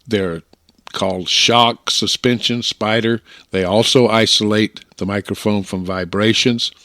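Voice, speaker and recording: An American man narrating an instructional hobby slideshow in a plainspoken, folksy, deliberate way, close on a broadcast-style microphone. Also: lowish voice, steady tone, bright, forceful tone, no echo. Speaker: amateur radio hobbyist presenter.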